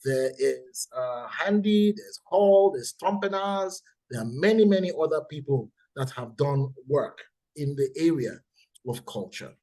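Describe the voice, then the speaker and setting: Nigerian-accented male presenter, husky tone, deliberate and repetitive for emphasis, over a video call. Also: a little high, lively intonation, mellow. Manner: deliberate, repeats key points for emphasis. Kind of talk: webinar presentation.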